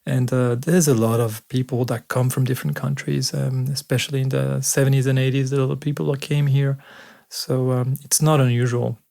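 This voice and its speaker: A man in his forties from Switzerland, a sports doctor chatting as a guest at home in a relaxed, thoughtful way with many pauses and fillers. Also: medium pitch, vocal fry. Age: 40s. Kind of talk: casual conversation. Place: home, indoors.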